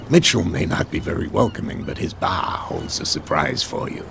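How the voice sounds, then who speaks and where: Gravelly harbour sea captain. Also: male voice, a bass voice, big pitch swings, moderate pace, full character voice. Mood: hearty, advising. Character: gravelly old harbour captain, male, wistful and hospitable. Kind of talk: video game voice acting. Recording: noisy harbour ambience behind voice.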